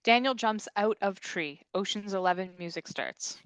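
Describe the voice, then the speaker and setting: A woman's voice: mid-range voice, moderate pace, dry recording, rough recording. A woman narrating scripted stage directions at a comedy table read.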